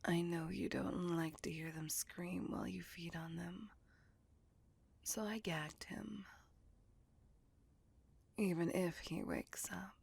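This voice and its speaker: A woman's voice: fairly low pitch, steady tone, slow pace, long pauses, darkish tone, heavily acted. A woman voicing a possessive, seductive vampire in a whispered ASMR roleplay, doting on her lover with intimate, coaxing control. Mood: doting, teasing. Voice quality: breathy whisper with husky, creaky edge. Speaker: voice actor.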